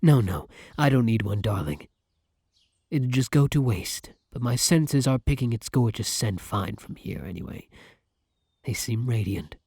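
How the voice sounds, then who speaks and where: A man's voice: medium pitch, animated, a little slow, dark tone, breathy edge, dry recording. A man playing a devoted, old-fashioned vampire in an ASMR roleplay, speaking formally and with flowery affection. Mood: adoring. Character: courtly, devoted gentleman vampire, male, tender and old-fashioned. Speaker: roleplay voice actor. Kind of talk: ASMR roleplay acting addressed to the listener.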